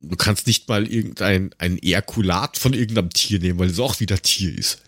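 A man from Lower Austria with an Austrian accent, chatting casually and sarcastically as a podcast co-host, given to ranting and crude jokes. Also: brisk, fairly bright tone, no room sound, clean studio sound. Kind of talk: casual chat.

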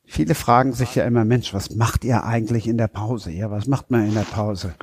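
A man's voice: on the low side, brisk, a little mellow, husky, no room sound. A man hosting a casual podcast, asking his guests jovial questions. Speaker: podcast host.

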